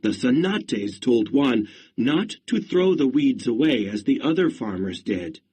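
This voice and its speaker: Brief: male read-along narrator, expressive, husky, slow pace. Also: mid-range voice. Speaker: story narrator.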